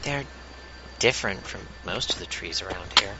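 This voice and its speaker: A man's voice: sits low, moderate pace, lightly acted. A man playing a sullen, skeptical teenage therapy client in an audio drama, terse and wary. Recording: muffled, very noisy background.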